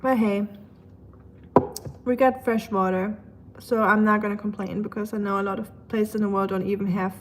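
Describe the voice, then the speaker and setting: A woman's voice: medium pitch, fairly even intonation, moderate pace. A woman with a German accent chatting casually to livestream viewers, thinking aloud in a rambling way with dry humor. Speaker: livestream host. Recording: clear background ambient noise.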